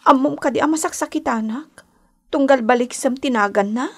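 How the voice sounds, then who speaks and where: A woman's voice: high-pitched, a little fast. A woman playing a scheming, lovelorn young woman in an Ilocano radio drama, pleading and insistent, with an Ilocano accent. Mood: coaxing, lovelorn. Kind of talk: Philippine radio drama acting.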